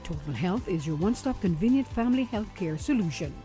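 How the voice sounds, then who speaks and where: Mellow woman reading a radio ad. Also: fairly low pitch, animated, quick pace, faint music behind. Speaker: ad announcer. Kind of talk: scripted radio ad.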